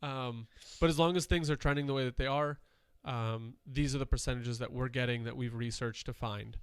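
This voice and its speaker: A man's voice: mid-range voice, steady tone, normal speed, clean tone, no room sound. A man co-hosting a podcast, chatting casually and rambling with fillers, a little nasal from dust. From Wisconsin. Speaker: podcast co-host. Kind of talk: casual chat.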